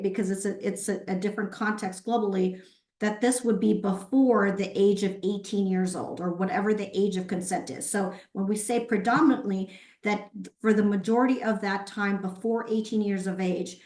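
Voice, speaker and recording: A woman presenting at a webinar, explaining things step by step and posing rhetorical questions. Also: medium pitch. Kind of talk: explanatory lecture with brief acknowledgements of participants. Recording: roomy, echoey sound.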